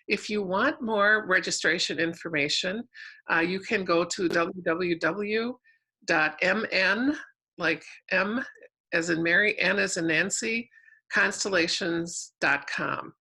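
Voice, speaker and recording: Bright-voiced woman hosting an interview. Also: fairly low pitch, slow, husky, poor audio. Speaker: interviewer and host. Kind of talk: conversational interview introduction.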